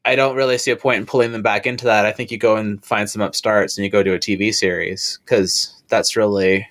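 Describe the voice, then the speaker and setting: A man on a casual online panel, loud and profane, rambling with lots of fillers. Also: fairly low pitch, level tone, a little fast. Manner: loud, swears freely, rambling with many fillers.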